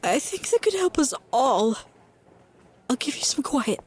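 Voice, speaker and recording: An actress playing a shaken, caring woman in an audio drama, speaking in a gentle, hesitant way. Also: high-pitched, very animated, a little slow, slightly rough, full character voice. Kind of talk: naturalistic audio drama acting.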